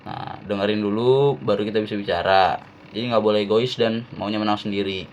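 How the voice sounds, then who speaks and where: A young man from Jakarta, a university student giving a relaxed, slangy class-assignment talk with frequent fillers. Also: lowish voice, brisk, muffled recording, a little hiss or hum. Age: young adult.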